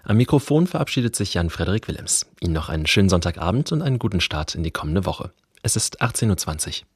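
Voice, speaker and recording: A man's voice: low-pitched, sing-song, fast, slightly rough, dry recording. A man presenting radio news, delivering a scripted sign-off into a studio microphone. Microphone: close studio microphone.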